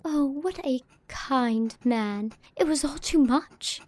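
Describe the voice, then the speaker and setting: A woman playing a sweet, excitable young Victorian lady who speaks politely and effusively in an audio drama. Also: sits high, takes it slowly, noisy background. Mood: wistful, dramatic. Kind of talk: audio drama letter narration and dialogue.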